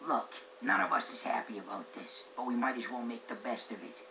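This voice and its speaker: An actor playing a gruff, determined young racing hero in a video game, terse and resolute. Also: male voice, medium pitch, fairly quick, noisy background, natural voice. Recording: muffled game audio with music.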